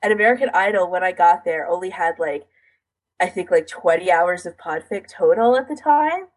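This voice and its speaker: A woman's voice: on the low side, animated, moderate pace, low-quality recording. A woman co-hosting a podcast in casual chat, rambling along with lots of "like" and self-interruption. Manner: rambling, filler-heavy delivery with frequent "like". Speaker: podcast co-host. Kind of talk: casual chat about audio editing.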